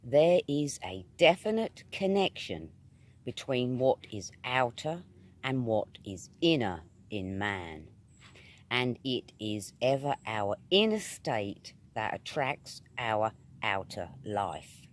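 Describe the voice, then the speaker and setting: A British man reads a scripted spiritual essay at a slow, deliberate pace. His pitch sits in a middle register and moves widely, giving the phrases an emphatic, oratorical shape. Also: big pitch swings. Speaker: reader of metaphysical essays.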